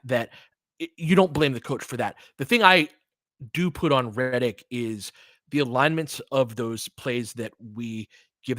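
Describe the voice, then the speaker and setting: A man's voice: medium pitch, a bit bright, no room sound, studio quality. A man giving live basketball play-by-play mixed with casual, long-winded analysis in a conversational, explanatory way.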